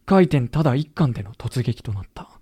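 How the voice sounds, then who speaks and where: An actor playing a composed samurai official who narrates a war chronicle in a scripted period audio drama. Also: male voice, medium pitch, animated, warm tone, breathy edge, no room sound. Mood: solemn, factual. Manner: measured, solemn storytelling.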